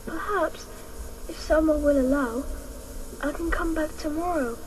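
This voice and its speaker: Radio play child: timid, earnest, high voice, slow delivery, faint noise. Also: female voice, darkish tone. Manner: pleading, earnest. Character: frightened, pleading young child from a lakeside village. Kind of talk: radio drama acting. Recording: faintly noisy radio drama recording.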